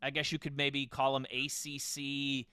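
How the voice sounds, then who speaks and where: A man's voice: medium pitch, completely flat delivery, measured pace, pushed and bright, smooth, no echo, clean studio sound. A man hosting a casual football podcast in a conversational way, steering the discussion. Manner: conversational, steers questions to co-hosts. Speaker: podcast host.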